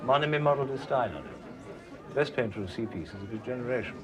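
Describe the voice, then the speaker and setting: A man's voice: medium pitch, big pitch swings, brisk, crowd noise, natural voice. An actor playing a cultured, lecturing male art expert in a British TV drama. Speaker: gallery guide. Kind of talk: 1980s British TV drama acting. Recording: TV soundtrack with music underneath.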